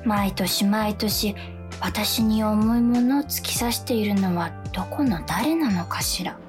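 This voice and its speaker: Woman playing a slow, languid temptress demon. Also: mid-range voice, music in the background, lightly acted. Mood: hurt, reproachful. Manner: soft, drowsy, coaxing. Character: languid, gently tempting female demon of post-holiday blues. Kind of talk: radio drama acting.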